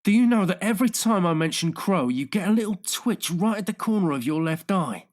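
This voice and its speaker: A man's voice: high-pitched, expressive, brisk, darkish tone, voice pushed up. A man voicing a tired, exasperated police detective and worried friend in an audiobook, blunt and pleading. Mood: anxious, pleading. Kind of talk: audiobook character dialogue.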